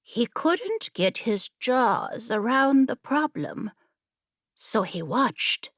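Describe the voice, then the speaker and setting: A woman's voice: a little high, big pitch swings, drawn-out words, dry recording, studio quality, exaggerated voice. A woman playing a storyteller who holds children rapt at a campfire, theatrical and emphatic, in an audiobook.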